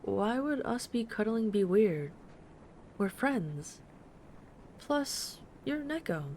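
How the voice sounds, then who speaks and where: A woman playing a gentle, affectionate dragon friend in an intimate comforting audio roleplay, speaking softly and tenderly to the listener. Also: a little high, sing-song, slight background noise, full character voice. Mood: caring, reassuring. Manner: soft-spoken, tender, unhurried reassurance. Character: gentle, affectionate female dragon friend who loves soft, cuddly plushies.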